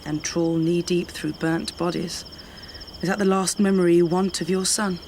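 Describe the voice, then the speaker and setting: Middle-aged British woman played by an actress, candid and weary, fry in the voice, naturalistic radio acting, noisy night exterior. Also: lowish voice, little pitch movement, moderate pace, a little mellow, close to an everyday voice. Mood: firm, weary. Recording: night insects and outdoor ambience noise.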